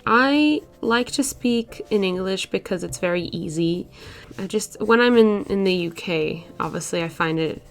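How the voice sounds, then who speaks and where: A woman's voice: medium pitch, normal speed. A young woman in her twenties whose first language is Portuguese, chatting casually over a sketching video with lots of "like" and "uh" fillers. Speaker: art vlogger.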